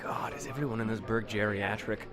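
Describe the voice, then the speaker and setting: An actor playing a suave but easily exasperated radio show host, in a theatrical, old-time radio drama manner. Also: male voice, on the low side, steady tone, brisk, natural voice. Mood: exasperated, hushed. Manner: theatrical, polite in front, snippy aside. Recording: music behind the voice.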